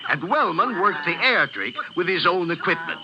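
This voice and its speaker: Smug pointed professor man, old radio drama, highish voice, muffled sound. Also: expressive, normal speed, crowd noise. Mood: scornful. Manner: condescending, pointed, theatrical. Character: smug professor exposing tricks, secretly a stage magician. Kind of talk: 1940s radio drama acting. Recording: noisy, muffled 1940s radio recording.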